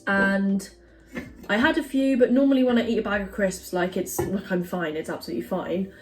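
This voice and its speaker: A woman's voice: medium pitch, brisk, faint music behind. A young woman vlogging in her home kitchen, chatting casually and rambling with lots of fillers. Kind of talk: casual chat to camera.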